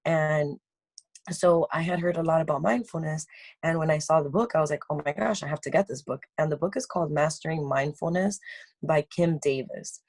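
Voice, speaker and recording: A woman in her twenties, a meditation guide and interview guest, telling her story in an effusive, warm way with fillers. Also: low voice, a little fast, dry recording. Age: 20s. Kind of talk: casual interview chat. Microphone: video call.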